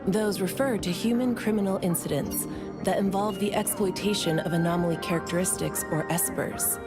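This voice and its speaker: A woman's voice: mid-range voice, normal speed, natural voice. An actress playing a composed, courteous woman officer in an anime game, speaking in a formal, businesslike way. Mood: polite, businesslike. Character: polite, composed young woman bureau officer giving briefings. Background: background music.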